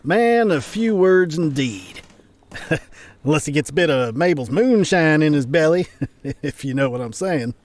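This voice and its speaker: A man's voice: a little high, animated, darkish tone. An actor playing a cocky, wily old man in a Western audio drama, with a folksy Southern American drawl and a teasing manner. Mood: jovial, sly. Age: older. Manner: folksy, teasing, drawn-out delivery.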